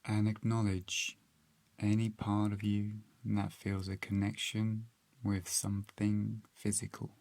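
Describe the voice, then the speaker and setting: Male meditation guide: calm, gentle, very slow and flat in pitch, long pauses. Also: gravelly, no room sound.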